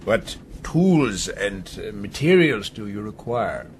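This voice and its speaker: An actor playing a domineering, mocking sea captain in a radio drama, sardonic and probing, with deliberate hums. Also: male voice, on the low side, big pitch swings, slight background noise. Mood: sly, businesslike.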